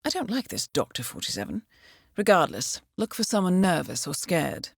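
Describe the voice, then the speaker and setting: An actress playing a poised, dry-witted intelligence handler in a game, with a composed, clipped, wryly understated delivery. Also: female voice, lowish voice, very animated, normal speed, vocal fry, slightly rough, no echo, exaggerated voice. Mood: grave. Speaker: mission handler. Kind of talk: scripted game briefing dialogue.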